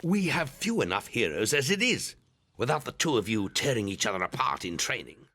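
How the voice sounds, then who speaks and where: An actor playing an older, stern but kindly guildmaster in a fantasy video game. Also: male voice, medium pitch, sing-song, brisk, bright, forceful tone, heavily acted. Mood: approving.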